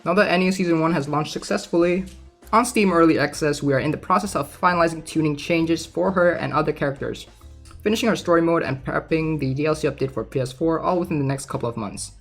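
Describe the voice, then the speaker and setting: A man's voice: a little high, quick pace. A man casually reading aloud and commenting in an informal, chatty way, like a gaming content creator. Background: background music.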